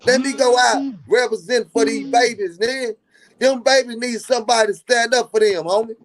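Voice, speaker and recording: A man's voice: extremely high pitch, steady tone, normal speed. A man from Dallas, talking earnestly in a casual live stream and repeating himself for emphasis. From Dallas, Texas.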